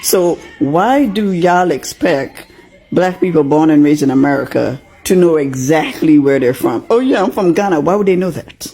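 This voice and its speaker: A man's voice: on the high side, expressive, normal speed, mellow, husky, soft background music. A man from Grenada making his case in a casual reaction clip, emphatic and full of rhetorical questions. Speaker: commenter in a reply clip.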